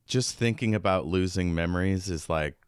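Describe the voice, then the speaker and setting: A man's voice: deep voice, moderate pace, smooth, dry recording, studio quality. A man co-hosting a podcast, casually discussing a book in an informal, musing way. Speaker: podcast co-host.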